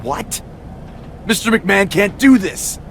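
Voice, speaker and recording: A man's voice: high-pitched, big pitch swings, takes it slowly, gravelly, slight background noise, full character voice. A man playing a cocky, self-entitled pro wrestler in a video game cutscene, with a smug, sarcastic manner. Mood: startled, indignant.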